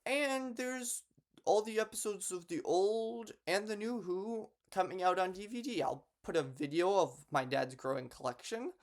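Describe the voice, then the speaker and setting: A man's voice: high-pitched, normal speed. A young man talking casually and unscripted to camera as a vlogger, with a hesitant delivery full of false starts. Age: young adult. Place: bedroom in a home.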